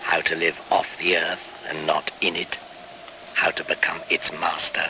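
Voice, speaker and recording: An actor playing a solemn shaman in a 1970s radio play, speaking in a grave, measured, incantatory manner. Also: deep voice, little pitch movement. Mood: grave reproach. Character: solemn shaman leading a solstice rite. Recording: noisy old 1970s radio play recording.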